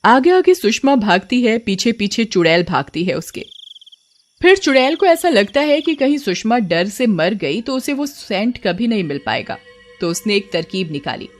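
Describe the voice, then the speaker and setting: A woman's voice: medium pitch, big pitch swings, normal speed, faint music behind. A woman storyteller giving a dramatic scripted narration of a horror tale.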